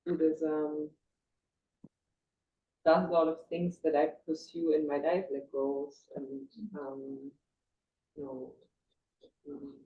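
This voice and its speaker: A woman who works with nonprofits, a parent, introducing herself in a chatty, animated way and thinking aloud with fillers. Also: low voice, phone-quality sound. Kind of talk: casual group introduction.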